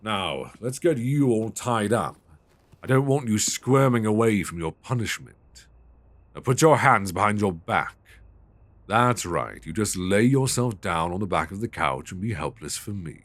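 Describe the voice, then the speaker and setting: A British man playing a stern, possessive, dominant lover in an intimate ASMR roleplay, speaking deliberately and commandingly. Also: on the low side, animated, dry recording. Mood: stern, controlled. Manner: slow, deliberate, commanding with soft endearments.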